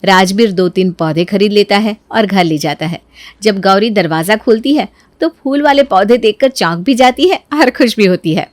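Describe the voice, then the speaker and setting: A woman's voice: mid-range voice, normal speed, dry recording. A woman narrating a scripted family moral story as a storyteller.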